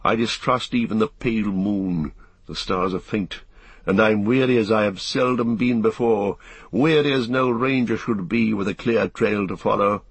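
A man voicing a grave, weary ranger leader in a fantasy audiobook, with brooding, measured, archaic phrasing. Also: on the low side, slightly rough, no echo.

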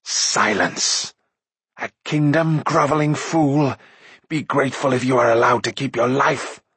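Man voicing a slow, menacing sorceress queen. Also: medium pitch, expressive, no room sound. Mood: scornful fury. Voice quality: hoarse whispering. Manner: slow, drawn-out, threatening. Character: menacing sorceress queen, cunning, scornful, commanding. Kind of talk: audiobook character voice.

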